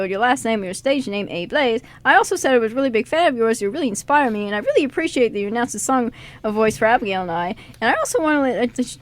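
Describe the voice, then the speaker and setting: A woman playing an earnest, excitable teenage boy who gushes and stammers in an amateur radio drama reading. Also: fairly high pitch, close to an everyday voice. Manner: stammering, gushing, rambling.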